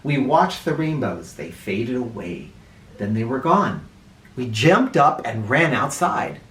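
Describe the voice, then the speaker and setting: A man tells a children's story in a roomy, echoing space, some distance from the microphone. His delivery swings widely in pitch, theatrical and animated, leaning on key words with drawn-out emphasis. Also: a little hiss or hum. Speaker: children's read-aloud storyteller. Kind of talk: expressive storybook read-aloud. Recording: roomy echo, distant mic.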